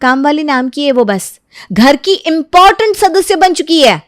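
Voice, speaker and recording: A woman's voice: high-pitched, very animated, normal speed, no echo, clean studio sound, voice pushed up. A woman playing a hot-tempered, spoiled young daughter-in-law in an audio story, scolding and imperious. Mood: indignant.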